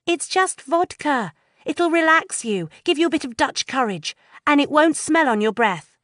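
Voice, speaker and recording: A woman's voice: high-pitched, expressive, normal speed, fairly bright tone, no echo, clean studio sound, voice pushed up. A woman voicing a bold teenage girl, coaxing her shy friend in a storybook reading. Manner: brash, coaxing.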